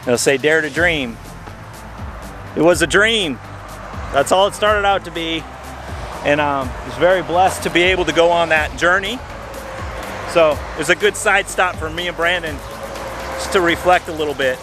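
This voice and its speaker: A man's voice: a little high, moderate pace, pauses often. Middle-aged man, casual road-trip vlog, teasing banter, in a car, music behind. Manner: chatty, teasing, laughs often. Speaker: vlogger. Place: inside a car, partly outdoors by a highway.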